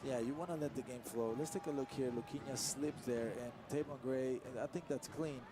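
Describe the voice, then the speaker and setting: A man giving televised play-by-play soccer commentary in a measured, descriptive way. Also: medium pitch, fairly even intonation, noisy background. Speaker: match commentator.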